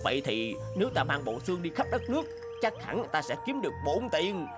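A man voicing a cowardly, greedy young man who stammers and fawns, over loud music. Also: on the high side, sing-song, brisk. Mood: gleeful. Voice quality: stammering, fawning. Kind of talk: cartoon voice acting.

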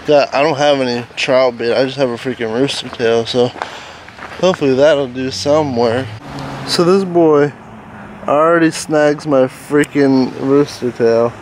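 A young man in his twenties chats loosely into a camera he holds close. He jokes and swears casually, at a middle pitch, with faint noise behind. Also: a little slow. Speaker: vlogger. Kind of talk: casual vlog chat. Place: inside a car, then outdoors and at home. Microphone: handheld camera close up.

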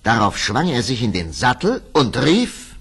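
A man narrating a children's fairy tale from a script in a dramatic, measured storytelling manner. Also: medium pitch, wildly swooping pitch, fairly bright tone, husky.

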